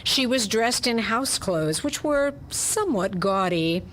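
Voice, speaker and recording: A woman's voice: a little high, lively intonation, unhurried, fairly bright tone, a little hiss or hum. A woman reading scripted, dramatic narration for a hardboiled detective radio story.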